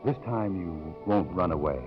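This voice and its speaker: Middle-aged male college president, played by an actor, tender, sincere, low voice, fifties radio romance, lo-fi recording. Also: moderate pace, lightly acted. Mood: romantic. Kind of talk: 1950s radio drama acting. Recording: muffled old radio recording, background music.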